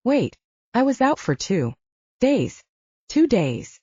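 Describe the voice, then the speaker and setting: Lady doing dazed anxious girl in her twenties waking up hurt, croaky, audiobook, mellow tone. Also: on the high side, very animated, takes it slowly, no echo, muffled recording, pitched above the performer's own voice, exaggerated voice. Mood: dazed, concerned. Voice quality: croaky, hoarse whispering. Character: dazed, anxious young woman waking injured.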